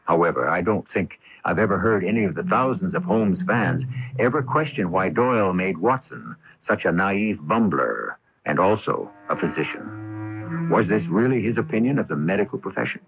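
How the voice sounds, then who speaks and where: A man's voice: deep voice, lively intonation, normal speed, a bit bright, slight background noise. A man hosting a radio drama, delivering a scripted introductory commentary. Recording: dull AM radio tape with music.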